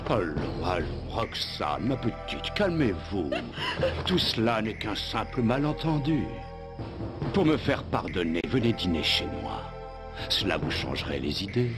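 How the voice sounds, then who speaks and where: A suave, domineering cartoon official. His low voice swoops with condescension, over background music. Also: big pitch swings, measured pace. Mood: coaxing, imperious. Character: paternal, domineering man in power, suavely insistent. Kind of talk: French animated series dub acting.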